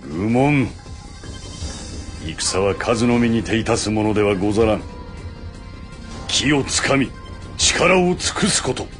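A man's voice: on the low side, lively intonation, slow pace, pauses often. A man voicing a stern, loyal samurai general in a game, with solemn, terse, archaic warrior speech. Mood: grave, resolute. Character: stern, stoic, mighty samurai general, loyal unto death. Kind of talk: dramatic Japanese game voice acting. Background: music.

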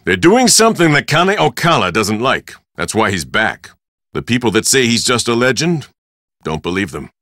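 Swooping, bright-voiced Hawaiian tour hustler. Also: male voice, mid-range voice, big pitch swings, normal speed, no room sound, studio quality. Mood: suspicious, confiding. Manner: hearty, pushy sales patter in short punchy phrases. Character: boisterous salesman-like Hawaiian tour operator, Big Island Mike. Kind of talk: video game character dialogue.

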